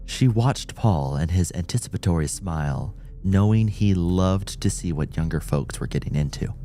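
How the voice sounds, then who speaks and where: A man narrating a scripted horror audiobook in a deliberate, suspenseful, dramatic style. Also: low-pitched, lively intonation, dark tone, faint music behind.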